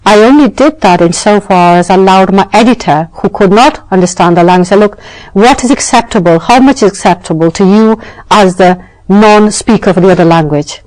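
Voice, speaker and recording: A woman's voice: mid-range voice, fairly quick, darkish tone, low-quality recording. A British woman, a novelist and scriptwriter, answering interview questions in a thoughtful, emphatic way with frequent self-corrections. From Britain.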